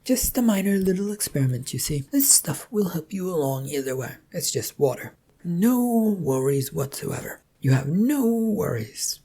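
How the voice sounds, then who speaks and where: Sadistic male torturer for a story, played by the narrator: high, breathy, taunting, chatty, falsely courteous. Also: big pitch swings, moderate pace, mellow. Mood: falsely reassuring. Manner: taunting, chatty, mock-polite.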